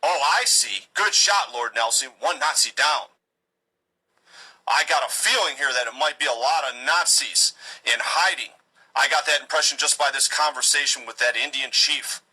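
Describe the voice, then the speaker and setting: A man's voice: medium pitch, normal speed, pushed and bright, husky, low-quality recording. A man voicing a smug, preachy settler leader in a satirical cartoon, condescending and full of self-righteous pleading.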